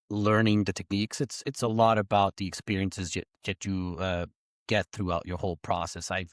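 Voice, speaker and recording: Male guest, lower voice, earnest, repeats words, dry mic. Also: no room sound. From Hungary. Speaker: real estate broker, podcast guest. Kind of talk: conversational interview answers.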